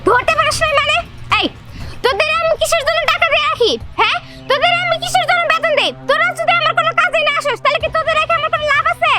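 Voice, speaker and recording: A woman's voice: very high-pitched, very fast, pushed and bright, slight background noise. A woman voicing a spoiled, jealous and threatening chairman's daughter in a cartoon drama. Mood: impatient, scolding.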